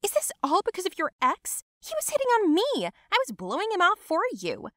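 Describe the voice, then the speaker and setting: A woman's voice: a little high, sing-song, moderate pace, dry recording, clean studio sound. A woman voicing a spiteful, shrieking young female cousin in a dramatic story. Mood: defensive.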